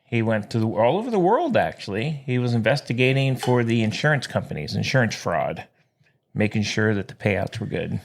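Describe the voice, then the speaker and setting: A man's voice: on the low side, big pitch swings, a little fast, creaky. A man co-hosting a podcast in casual banter, exuberant and jokey, given to theatrical catchphrases. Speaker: podcast co-host.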